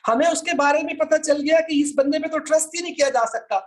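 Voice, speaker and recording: A man's voice: extremely high pitch, fairly quick. A man with a Pakistani accent lecturing students online, explaining didactically, repeating points for emphasis and mixing in English terms. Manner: mixes English terms into speech. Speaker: law lecturer.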